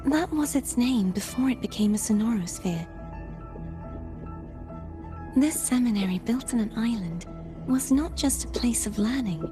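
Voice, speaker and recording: A woman playing a solemn young former warrior in a video game, speaking in a measured, formal way with some breathiness. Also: a little high, lightly acted. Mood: calm, somber. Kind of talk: scripted video game dialogue.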